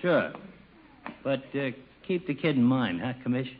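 An actor playing a chummy, pushy small-town barber in an old radio comedy, slangy, breezy and cajoling. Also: male voice, mid-range voice, very animated, a little slow, slight background noise. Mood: coaxing. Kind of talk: 1940s-50s radio comedy acting. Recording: dull vintage radio broadcast recording.

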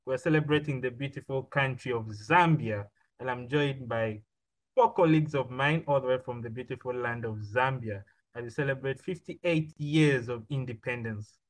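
A man's voice: medium pitch, moderate pace, rough recording. A man hosting a podcast, introducing guests and asking questions in an upbeat, repetitive way. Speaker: podcast host.

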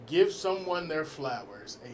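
A man co-hosting a casual podcast, talking in a reflective, hesitant way, with clear noise behind him. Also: a little high, sing-song, a little slow, a little mellow. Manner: reflective, hesitant, laughs easily. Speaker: podcast co-host. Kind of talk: casual chat. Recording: noisy background.

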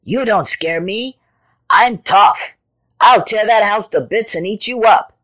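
A woman's voice: sits low, very animated, unhurried, a bit bright, heavily acted. Woman voicing a brash, swaggering male leopard. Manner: snorting, boastful. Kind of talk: animal folktale storytelling.

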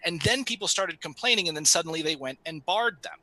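A man with a loud voice, arguing animatedly in a debate over a video call. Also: fairly high pitch, low-quality recording. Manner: animated, fast arguing with frequent restarts. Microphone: video call microphone.

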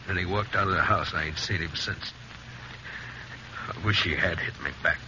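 An actor playing a middle-aged frontier sheriff and guilt-ridden father in a 1950s radio western, gruff and plain-spoken. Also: low-pitched, normal speed, lightly acted. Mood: regretful. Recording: muffled, noisy 1950s radio recording.

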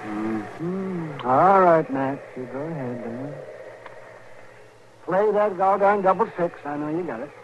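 An actor playing a crotchety, fussy frontier doctor who grumbles and exclaims in a 1950s radio western. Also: male voice, on the high side, animated, slow. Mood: grumbling. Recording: muffled, noisy vintage radio recording.

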